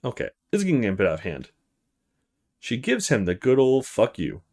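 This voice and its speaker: A man's voice: medium pitch, wildly swooping pitch, fairly quick, darkish tone. A man narrating and reading aloud a visual novel as a let's play host.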